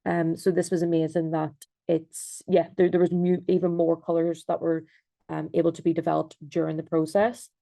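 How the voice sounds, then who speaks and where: Irish woman talking thru her slides on a zoom call, low flat voice, lots of ums. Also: normal speed, vocal fry, no room sound. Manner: hesitant, many fillers and restarts. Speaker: designer and researcher. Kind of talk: presentation over video call. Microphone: video call.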